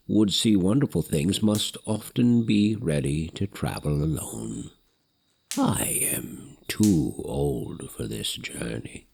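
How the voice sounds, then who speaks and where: Frail, husky old father, deep and gravelly. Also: darkish tone, heavily acted. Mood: tender, encouraging. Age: older. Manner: halting, solemn, archaic phrasing. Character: frail, wise old father, gently encouraging. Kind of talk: audio drama acting.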